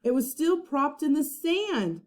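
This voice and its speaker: A woman reading a children's storybook aloud like a teacher, with expressive, dramatic narration. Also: sits high, unhurried.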